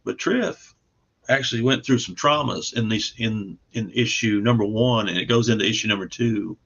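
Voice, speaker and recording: A man's voice: on the low side, a bit bright, lo-fi sound. A man speaking as a guest comic creator, chatting casually about his own project.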